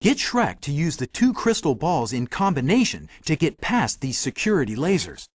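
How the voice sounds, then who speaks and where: A man's voice: a little high, huge pitch swings, normal speed, dry recording. A man voicing a talking magic mirror in a video game, giving hints in a theatrical, playful, wry way. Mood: helpful, playful. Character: talking magic mirror guide, male, animated and helpful. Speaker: video game hint-giver. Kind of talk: scripted game voice acting, hints and quips.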